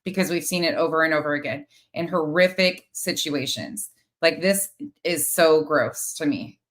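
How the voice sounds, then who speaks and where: A woman's voice: low voice, normal speed, a bit bright. A woman host giving exasperated, critical live commentary, with some swearing. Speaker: host of a true crime commentary show. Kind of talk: live commentary on played clips.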